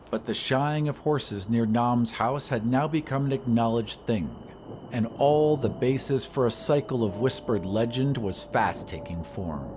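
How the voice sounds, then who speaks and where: A man reading a classic horror story as scripted narration, in a measured, literary storytelling manner. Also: medium pitch, a little mellow, a little hiss or hum. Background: ambient sound effects.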